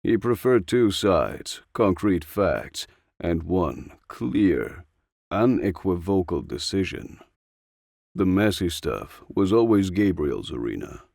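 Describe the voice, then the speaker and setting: A man's voice: sits low, sing-song, takes it slowly, gravelly, darkish tone, dry recording, studio quality. A man reading a story as scripted audiobook narration. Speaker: audiobook reader.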